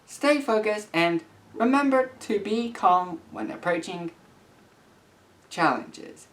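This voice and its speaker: Young man, late teens, animated sing-song intonation, earnest deliberate phrasing, reading aloud, recorded at a distance from the microphone. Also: high-pitched. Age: young adult, about 18. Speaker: YouTuber. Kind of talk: reading messages aloud and commenting to camera.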